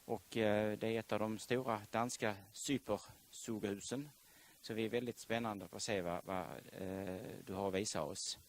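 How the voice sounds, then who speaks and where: A man introducing a guest speaker at a university lecture, halting with frequent fillers. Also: fairly low pitch, steady tone. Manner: hesitant, many fillers. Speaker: lecture series host. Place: lecture hall.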